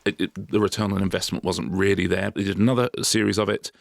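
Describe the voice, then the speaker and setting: A man with a very low, deeply gravelly voice talks fast in a casual podcast chat, often restarting his sentences as he tells a story. The sound is close and dry, like a studio mic. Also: flat delivery, no echo. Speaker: podcast co-host.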